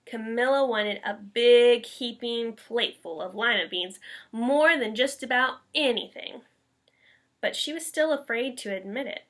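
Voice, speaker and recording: A woman reading a children's story aloud in an expressive, emphatic school read-aloud style. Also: a little high, a little slow. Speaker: elementary school staff member reading aloud.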